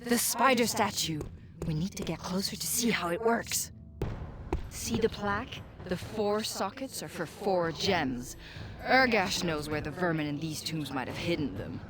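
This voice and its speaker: A woman's voice: fairly low pitch, expressive, measured pace, music in the background. A woman voicing a sly, seductive demon companion in a fantasy game, teasing and sardonic as she insinuates things. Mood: urging. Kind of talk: fantasy video game acting. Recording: game sound effects behind the voice.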